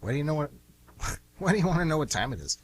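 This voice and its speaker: A man's voice: on the high side, sing-song, fast talker, slight background noise. A man playing a nosy, blunt younger brother with a casual, pestering manner in an amateur radio play.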